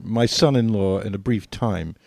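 A man's voice: on the low side, moderate pace, creaky, darkish tone, no echo. An older man co-hosting a podcast in casual chat. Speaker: podcast co-host.

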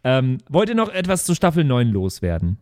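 A man's voice: medium pitch, wildly swooping pitch, normal speed, darkish tone. A man co-hosting a podcast in casual banter, effusive and teasing with his friends. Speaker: podcast co-host and role-player.

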